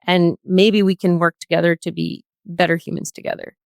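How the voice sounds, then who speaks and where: A woman speaking as a podcast guest, reflecting in an earnest, measured way in a panel discussion. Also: lowish voice, little pitch movement, gravelly, no echo, studio quality.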